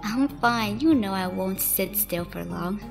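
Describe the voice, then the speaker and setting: A woman's voice: mid-range voice, expressive, unhurried, gravelly, long reverb tail, over music, voice pushed down. A woman voicing a restless, plucky young female slime rancher in a fan radio play, casual and direct. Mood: breezy.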